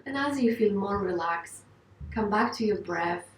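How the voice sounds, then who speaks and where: Female yoga teacher, gentle and reassuring guided relaxation, roomy sound, distant mic. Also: medium pitch, expressive, measured pace. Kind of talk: guided meditation instruction.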